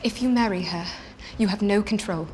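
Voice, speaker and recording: A woman's voice: medium pitch, moderate pace, darkish tone, a little hiss or hum, lightly acted. An actress playing a determined young noblewoman in a period romance drama, with earnest, formal old-fashioned diction. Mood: urgent. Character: determined young noblewoman seeking escape through marriage.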